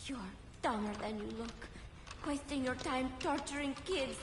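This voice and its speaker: A woman's voice: sits high, unhurried, loud music behind the voice. A woman playing an angry, defiant, protective young prisoner in a video game. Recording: echoey reverberant space with loud noise.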